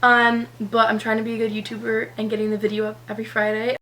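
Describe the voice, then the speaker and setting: Hoarse-ish teen girl vlogger, high-leaning voice, rambling casual chat, faint hum behind. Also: monotone, fast talker, a bit bright, rough recording. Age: teenager, 17. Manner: rambling, lots of "um" and "like". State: slightly hoarse from a cold. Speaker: beauty vlogger. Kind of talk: chatty get-ready-with-me vlog.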